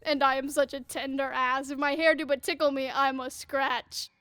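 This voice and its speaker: A woman's voice: high-pitched, level tone, moderate pace, a bit bright, dry recording. A woman playing a silly, self-important male weaver with a donkey's head in a Shakespearean audio drama, hesitating as he orders others about. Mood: lordly, fussy.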